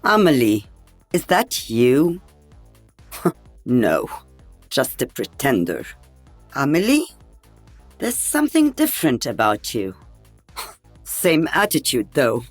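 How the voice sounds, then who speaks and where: A woman's voice: extremely low pitch, wildly swooping pitch, slow, pauses often, no room sound, soft background music, heavily acted. A woman playing an older veteran sniper in a video game, wry and teasing. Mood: wary.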